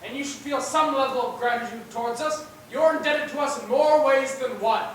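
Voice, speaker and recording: A stern, defensive scientist speaks in a high, forceful voice, played by a young amateur on stage. The sound is distant and swimming in echo, with a faint rumble. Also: a little fast. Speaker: student actor. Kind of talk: amateur stage play acting. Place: indoor theatre run-through without audience. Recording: echoey hall, distant mic, faint rumble.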